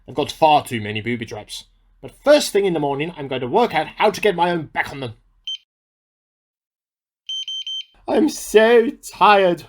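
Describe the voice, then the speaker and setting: A man acts out a paranoid amateur scientist in a radio play. His voice is rough-edged and swings widely in pitch. He delivers his diary with agitated melodrama. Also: expressive, normal speed. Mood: weary, resolved. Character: paranoid male amateur scientist at war with hamsters.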